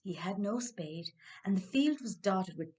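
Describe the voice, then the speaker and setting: Lively Irish woman storyteller. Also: mid-range voice, a little slow, husky. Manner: dramatic, with hushed suspense. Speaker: library storyteller. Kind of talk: expressive children's storytelling.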